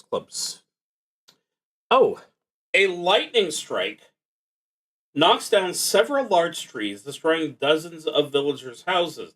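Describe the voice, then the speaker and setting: A man streaming a solo tabletop roleplaying game, thinking aloud in a halting, musing way with pauses as he goes. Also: a little high, a bit bright, low-quality recording.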